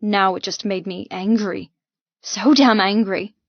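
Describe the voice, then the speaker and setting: A woman's voice: mid-range voice, fairly even intonation, a little slow, no echo, lo-fi sound. Intense woman narrating fiction, dry sound. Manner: intense, emotive. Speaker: audiobook narrator. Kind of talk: dramatic first-person fiction narration.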